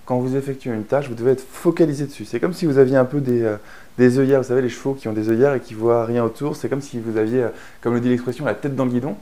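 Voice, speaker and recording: A man's voice: mid-range voice, fairly even intonation, fast talker, warm tone. A man from France explaining a productivity method in a chatty online lesson, with frequent fillers and restarts. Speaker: online course instructor. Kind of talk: explanatory course narration.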